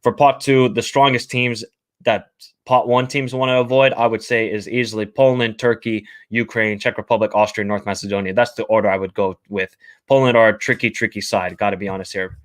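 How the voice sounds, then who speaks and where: A man's voice: lowish voice, fairly even intonation, a little fast. A young man with an American accent hosting a casual football livestream, reading viewer comments and giving blunt opinions, often repeating himself. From half American, half Finnish, living in Finland. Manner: chatty, opinionated, repeats phrases.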